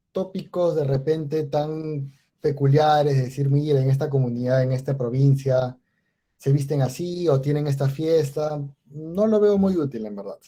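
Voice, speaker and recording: Dark-voiced professor lecturing. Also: male voice, a little high. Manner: unhurried, explanatory, with many restarts and fillers. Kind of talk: online class lecture.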